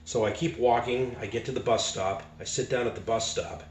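An older man from Boston, a street preacher, tells his conversion story with animated gestures in a small living room. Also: mid-range voice, brisk. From Boston, United States. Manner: animated, acts out dialogue with hand gestures. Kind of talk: personal testimony storytelling. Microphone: camera a few feet away. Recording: roomy, distant and noisy home video.

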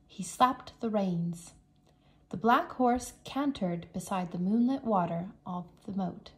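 A woman teacher narrating a children's story aloud in a careful, expressive storytelling manner. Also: mid-range voice. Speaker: English teacher reading aloud.

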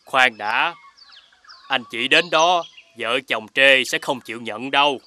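A man voicing a helpful, neighborly animal father in an animated fairy tale. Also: mid-range voice, slow, slight background noise. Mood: helpful, concerned.

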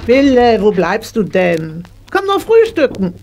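A woman's voice: a little high, sing-song, unhurried, over music. An actress playing a middle-aged, careworn shopkeeper mother in a children's radio play, grumbling and chiding. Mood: calling, impatient. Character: scolding, careworn shopkeeper mother of a horse-mad girl.

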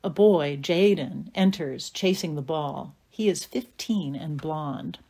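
Woman narrator reading stage directions, kinda low voice, atmospheric audio drama storytelling. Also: slow pace, dark tone, slightly rough.

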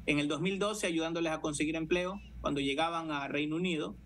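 A man's voice: fairly high pitch, steady tone, fairly quick, a bit bright. A man in his thirties with a Guayaquil Ecuadorian accent, answering interview questions over a video call. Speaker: interview guest, city councillor. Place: living room, indoors. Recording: noisy video call audio.

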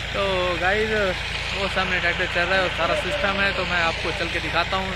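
A man's voice: high-pitched, flat delivery, fairly quick. A young man from Pakistan with a Pakistani Punjabi accent, chatting casually to viewers on a village farm while filming on a phone at arm's length. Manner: chatty, repeatedly addressing viewers as guys. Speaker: vlogger. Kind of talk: casual walkthrough vlog. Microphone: phone held at arm's length. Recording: outdoor phone recording with engine noise.

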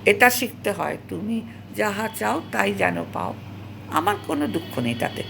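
A woman tells a personal story in an emotional, dramatic monologue, halting and repeating phrases for emphasis and dropping in English words. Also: medium pitch, expressive, gravelly, noisy background. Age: 70s. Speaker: storyteller recalling own past.

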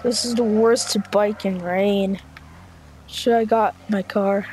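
A gamer improvising a video game roleplay, excitable, exclaiming and muttering along while playing. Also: medium pitch, takes it slowly. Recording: noisy home gameplay recording.

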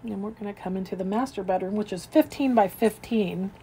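A woman's voice: mid-range voice, a little fast, mellow, a little hiss or hum. A woman real estate agent narrating an informal, unscripted walkthrough of a home, describing each room as she moves through it. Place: indoors, moving through rooms of a house.

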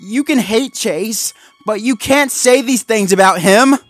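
A man's voice: fairly high pitch, expressive, slow pace, darkish tone, soft background music, pitched above the performer's own voice. A man voicing an anxious, loyal cartoon Dalmatian pup with a hesitant, pleading manner. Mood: horrified, pleading.

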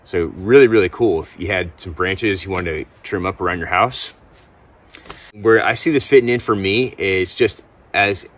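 Low-voiced man, casual outdoor gear reviewer. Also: fairly bright tone. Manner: laid-back, informal, enthusiastic slang. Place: outdoors in snowy woods.

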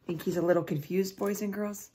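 A middle-aged woman reads a picture book aloud to children, deliberately, pausing to ask them questions. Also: mid-range voice, steady tone, background noise. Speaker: teacher reading aloud to children. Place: small indoor room at home.

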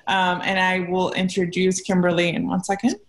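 A woman's voice: on the low side, monotone, moderate pace. A young adult woman hosting, introducing a guest speaker over a video call with many fillers. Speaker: lecture series host. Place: room at home, indoors. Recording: echoey room on a video call.

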